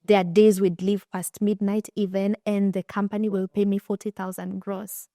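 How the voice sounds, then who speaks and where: A woman's voice: mid-range voice, moderate pace, dry recording, clean studio sound. A young Kenyan woman with a Kenyan accent hosting a casual interview, telling stories with lots of restarts. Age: young adult. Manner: animated storytelling with frequent restarts. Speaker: podcast host and finance coach.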